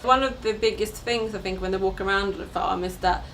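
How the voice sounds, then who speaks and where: A woman who works as a farm educator, giving a relaxed interview with some fillers and restarts. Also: medium pitch, a little fast. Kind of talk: casual interview. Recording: distant mic with background noise.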